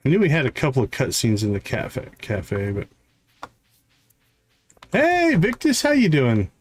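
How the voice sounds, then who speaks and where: A man's voice: mid-range voice, wildly swooping pitch, measured pace, slight background noise. A man hosting a game livestream, thinking aloud in casual, chatty commentary as he plays. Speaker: livestream host playing a video game. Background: game music.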